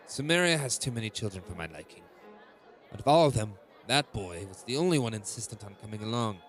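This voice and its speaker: A man's voice: fairly low pitch, lively intonation, normal speed, long pauses, close to an everyday voice. Man playing a domineering king, swooping delivery. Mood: dismissive. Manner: commanding, contemptuous, dismissive. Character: cold, domineering middle-aged king scolding his son. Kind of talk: scripted fantasy audio drama acting. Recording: music behind the voice.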